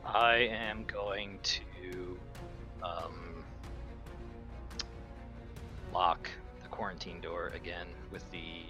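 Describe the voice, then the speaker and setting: Low-voiced man playing a tabletop game. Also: level tone, slow. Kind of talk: casual game-table talk. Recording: clear music behind voice. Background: music.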